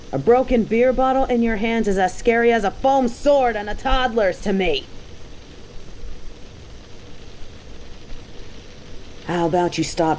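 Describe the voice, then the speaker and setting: A woman's voice: mid-range voice, a little mellow, slight background noise, close to an everyday voice, low-quality recording. A woman playing a cocky, dominant bounty hunter in an audio roleplay, with a mocking, taunting, slangy delivery. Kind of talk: scripted roleplay audio drama.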